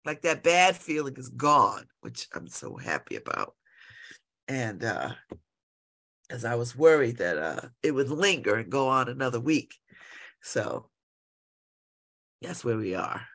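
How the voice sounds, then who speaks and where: A woman's voice: very deep voice, sing-song, measured pace, pauses often, fairly bright tone. A congested woman hosting local radio, chatting and rambling with exclamations. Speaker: radio host. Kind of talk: casual solo talk on local radio.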